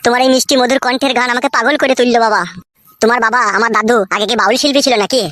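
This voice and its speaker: A man's voice: very high voice, fairly even intonation, rapid-fire, bright, forceful tone, no room sound, clean studio sound. A man voicing a cheeky, foul-mouthed young husband in a Bengali comedy cartoon. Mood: teasing. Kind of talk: comic cartoon dubbing in Bengali. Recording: noisy home-made dubbing.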